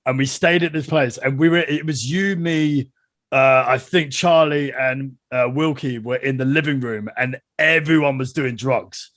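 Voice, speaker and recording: A man's voice: mid-range voice, a little slow, dry recording, clean studio sound. A man hosting a podcast, telling stories animatedly and swearing freely in casual chat. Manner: animated storyteller, heavy swearing, laughs a lot. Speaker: podcast host.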